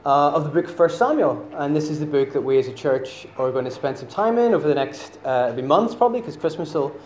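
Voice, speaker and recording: Northern Irish preacher in a roomy church. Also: male voice, on the high side, lively intonation, a little fast, a little mellow, a little hiss or hum. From Northern Ireland. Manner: chatty and self-deprecating, many fillers. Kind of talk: sermon. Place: church hall.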